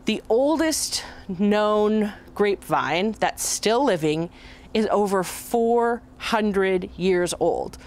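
A young woman outdoors in a garden, gently explaining things in simple words and wondering aloud with her listeners. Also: mid-range voice, lively intonation, slow pace. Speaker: children's time leader. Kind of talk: gentle teaching talk.

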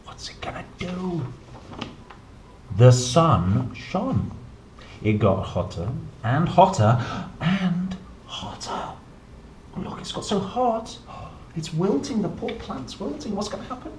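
A man in his thirties reading a picture book to young children, playful and expressive, with chatty asides. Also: high-pitched, slow pace, long pauses. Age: 30s. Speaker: storyteller, host reading children's books. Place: indoor room, seated at a table. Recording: roomy echo, some background noise.

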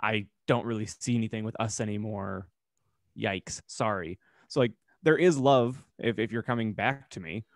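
A man's voice: fairly low pitch, moderate pace, dry recording. A man in his thirties co-hosting a podcast, talking casually in a reflective, earnest way into a close microphone at home. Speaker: podcast co-host. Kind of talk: casual conversation. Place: home room, indoors.

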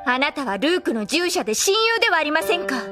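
High, proper young noblewoman. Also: big pitch swings, quick pace, music in the background. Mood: insistent. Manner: formal, genteel, insistent. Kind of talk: Japanese anime audio drama acting.

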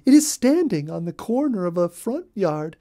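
A storybook cottontail rabbit played by a man, female and full of neighborhood gossip. The voice is pitched very high and chatters on breathlessly, like a busybody with news to share. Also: very animated, moderate pace, warm tone. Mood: eager, helpful.

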